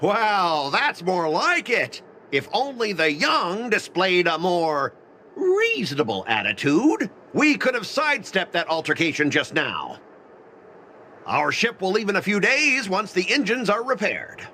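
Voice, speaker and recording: An actor playing a pompous, blustering young corporate manager in a video game. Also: male voice, high-pitched, wildly swooping pitch, unhurried, sharp tone, slight background noise, exaggerated voice. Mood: smug. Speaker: company manager.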